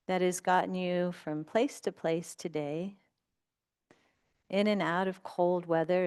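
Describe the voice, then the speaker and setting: A woman leading a guided meditation as a teacher, speaking in gentle, unhurried instructions with long pauses. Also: fairly low pitch, clean tone.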